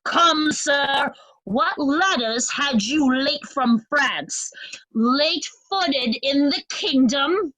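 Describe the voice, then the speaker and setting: Woman doing nasty bossy duke, shakespeare reading, really slow, bright hard voice, close and dry. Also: sits high, expressive, no room sound, full character voice, low-quality recording. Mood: interrogating. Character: cruel, commanding nobleman Cornwall, sadistic interrogator. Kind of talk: Shakespearean readers' theatre.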